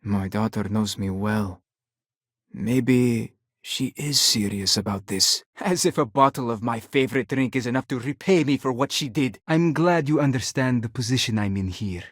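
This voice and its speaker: An actor playing a wealthy, stubborn, indignant father in a video game, speaking in a formal, exasperated way with a husky voice. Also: mid-range voice, normal speed, no echo, studio quality, close to an everyday voice. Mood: guarded, weighing it. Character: wealthy, indignant, stubborn father hunting his runaway daughter.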